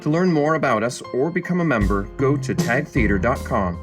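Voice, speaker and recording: A man's voice: medium pitch, lively intonation, fairly quick. A man reading a scripted promotional message as the announcer for a theatre group. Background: music.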